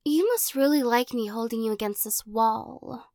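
A young woman voicing a possessive, teasing girl who corners the listener in an audio roleplay, giggling and coaxing, often dropping into soft whispers. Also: on the high side, moderate pace, dry recording, clean studio sound, natural voice.

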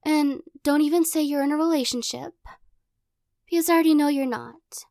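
A young woman voicing a possessive, teasing girl who corners the listener in an audio roleplay, giggling and coaxing, often dropping into soft whispers. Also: high-pitched, brisk, creaky, dry recording, studio quality.

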